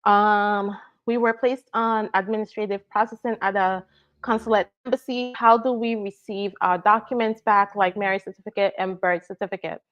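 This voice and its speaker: A woman from Nigeria, working as an immigration attorney, answers viewer questions in a relaxed live Q&A and keeps checking in with "right?" and "all right?". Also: medium pitch, moderate pace, lo-fi sound. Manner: explanatory, frequent "right?" and "all right?" check-ins.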